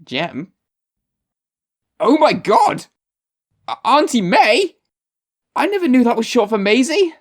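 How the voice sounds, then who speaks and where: A woman's voice: on the high side, big pitch swings, takes it slowly, long pauses, heavily acted. A woman playing an arrogant, self-centred male writer turned ghost in a comic drama, pompous, sarcastic and theatrical. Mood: exasperated. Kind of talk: comic audio drama acting.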